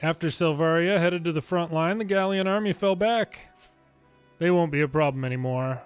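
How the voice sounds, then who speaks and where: A man's voice: on the high side, moderate pace, clean tone, soft background music. A man reading video game dialogue aloud dramatically, acting out each character's lines in turn.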